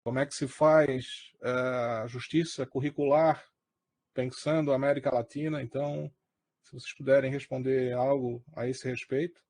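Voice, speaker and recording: A man's voice: medium pitch, steady tone, normal speed, a little mellow. A man with a Brazilian Portuguese accent moderating an academic session, thinking aloud as he puts a question to the panel.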